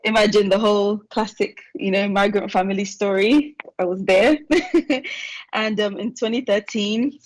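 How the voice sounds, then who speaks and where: A young woman, born in Nigeria and raised in England, sharing her story with a panel over a remote video call in a chatty way with quick asides. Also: mid-range voice, fairly even intonation, rough recording. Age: young adult. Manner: chatty and warm, quick asides with light laughs. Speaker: alumna panelist.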